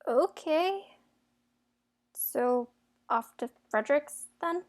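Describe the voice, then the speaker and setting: Girl actor as spirited young fairytale heroine, high voice, outspoken, easily exasperated, audio drama. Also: animated, extremely slow, exaggerated voice. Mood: casual.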